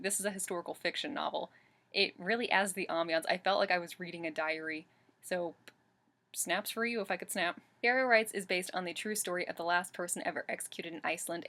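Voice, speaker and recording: A woman's voice: fairly low pitch, a bit bright. A woman in her twenties, chatting enthusiastically about a book she read and correcting herself as she goes, on a webcam in her bedroom. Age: 20s. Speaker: book reviewer. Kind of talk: casual book review vlog. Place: bedroom in front of a bookshelf.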